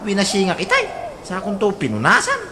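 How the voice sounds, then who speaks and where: Brief: woman voicing a fussy Ilocano uncle, wildly swooping pitch, faint noise behind. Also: wildly swooping pitch, quick pace, bright, forceful tone. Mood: bantering. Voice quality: laughing, swooping delivery. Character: older uncle, proud and fussy about his motorbike. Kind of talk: radio drama acting.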